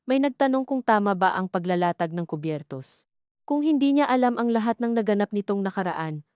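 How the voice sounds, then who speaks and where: A woman's voice: medium pitch, a little mellow, clean tone, no echo, clean studio sound. A woman reading a scripted dramatic audio story as its narrator.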